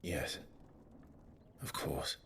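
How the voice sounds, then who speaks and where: An actor playing a sombre, guilt-ridden exiled nobleman in a video game, halting and restrained. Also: sharp tone, a little hiss or hum. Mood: subdued. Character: brooding, guilt-ridden exiled young nobleman turned soldier. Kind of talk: dramatic video game cutscene acting.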